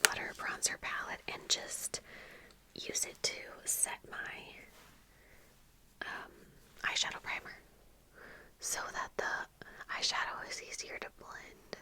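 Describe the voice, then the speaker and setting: A young woman speaks entirely in a breathy whisper, very close to the mic. Her delivery is slow and halting, like a casual chat while getting ready, and the whisper has a very bright, sharp edge. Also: poor audio. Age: young adult. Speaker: ASMR video creator. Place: small indoor room at home with bookshelves. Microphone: fluffy-covered microphone close in front, earphones on.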